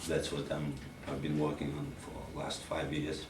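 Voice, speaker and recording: A man introducing himself as a PhD student and teacher at an informal seminar, in a halting manner. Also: a bass voice, monotone. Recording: echoey room, distant mic, loud noise.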